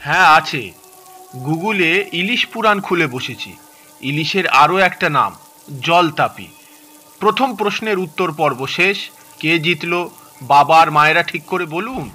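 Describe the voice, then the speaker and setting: A bossy grown son in a Bengali radio play. His young voice sits fairly high and bright, and he talks in a brisk, insistent way. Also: animated, slight background noise. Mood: assured. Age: young adult. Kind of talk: Bengali audio drama dialogue.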